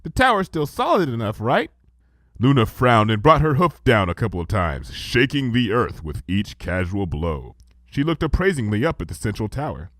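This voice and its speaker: A man reading a first-person story aloud as an expressive narrator. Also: lowish voice, moderate pace, dry recording. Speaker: fan fiction narrator and reader.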